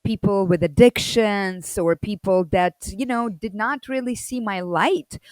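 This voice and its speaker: A woman shares her story as an interview guest, loud, energetic and expressive. Her voice sits at a middle pitch. It sounds dry and close, with almost no room echo. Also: unhurried. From Soviet Union.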